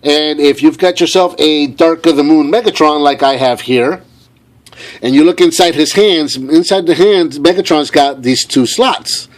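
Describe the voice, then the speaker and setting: A man's voice: on the high side, unhurried. A man hosting an unscripted toy review, talking enthusiastically and repeating phrases for emphasis. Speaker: toy reviewer, show host.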